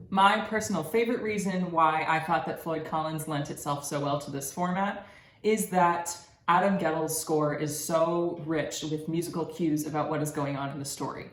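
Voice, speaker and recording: A young adult woman giving a prepared academic presentation as a student, articulate and explanatory. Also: deep voice. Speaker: student presenting thesis research. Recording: echoey room with background noise.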